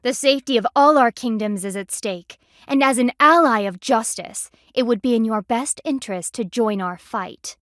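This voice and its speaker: Game role, female actor: stern panda army lieutenant, woman, highish voice, formal and imperious, dryly disdainful, very dry close sound. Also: moderate pace, few pauses, no room sound, lightly acted. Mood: haughty. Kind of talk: video game voice acting.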